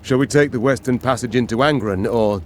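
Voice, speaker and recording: A man's voice: medium pitch, fairly quick, a little hiss or hum, lightly acted. A man playing an earnest, anxious military adviser to a queen in a fantasy game, formal and urgent. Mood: formal urging. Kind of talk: fantasy game character acting.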